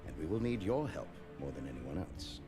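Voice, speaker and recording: An actor playing a commanding, sociopathic dark wizard leader in an audio fantasy drama. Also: male voice, a bass voice, big pitch swings, a little fast, music in the background. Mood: menacing.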